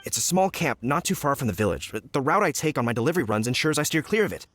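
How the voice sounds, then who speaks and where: A man playing a flustered, indignant village trader in a video game, complaining in an agitated, hurried way. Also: medium pitch, sing-song, fairly bright tone, slight background noise. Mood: relieved, rattled.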